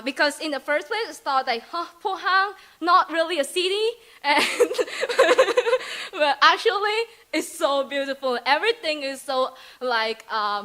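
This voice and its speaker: Bright, high-voiced young Vietnamese woman. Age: young adult. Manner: chatty, hesitant, giggly, many fillers. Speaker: exchange student presenter. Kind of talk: presentation speech.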